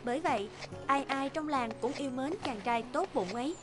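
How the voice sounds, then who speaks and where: A woman's voice: high voice, normal speed. A woman reading scripted fairy-tale narration as the narrator of a cartoon. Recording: music bed behind the voice. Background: background music.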